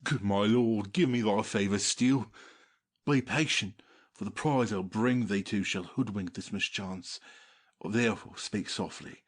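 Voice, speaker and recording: A brutish monster slave played by a man, fawning and murderous. The voice is husky and on the low side, with an urgent, conspiratorial delivery in Shakespearean audiobook style. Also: unhurried. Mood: fawning, furtive. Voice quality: husky, rough. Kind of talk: dramatic Shakespearean audiobook reading.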